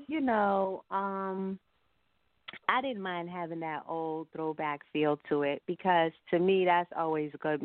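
A woman's voice: fairly low pitch, dry recording. A woman chatting casually as an interview guest, speaking emphatically and repeating phrases to drive a point home. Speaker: singer and entrepreneur, interview guest. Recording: thin telephone line.

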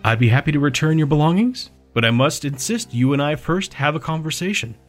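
A man playing a composed, quietly menacing captor whose voice stays soft and flat of feeling. He speaks in measured, formal sentences, set a little higher than the narration, with faint music underneath. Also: lightly acted. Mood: cold.

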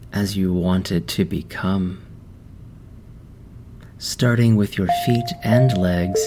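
Slow, low-voiced man guiding meditation. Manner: slow, gentle, soothing instruction with long pauses. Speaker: meditation guide. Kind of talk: guided meditation narration. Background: background music.

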